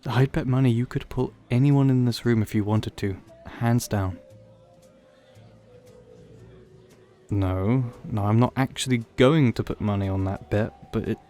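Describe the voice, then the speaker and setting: A man's voice: mid-range voice, normal speed, hardly any pauses, dark tone, soft background music, close to an everyday voice. A man playing an awkward, wistful young old friend in an audio roleplay, talking hesitantly and rambling in a self-deprecating way. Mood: flustered, admiring. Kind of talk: audio roleplay, conversational acting addressed to listener.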